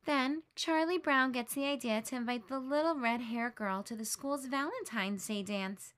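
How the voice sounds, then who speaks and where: A woman with a high voice reads a children's picture book aloud. She narrates gently and expressively, like a teacher. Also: moderate pace. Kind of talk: read-aloud storybook narration.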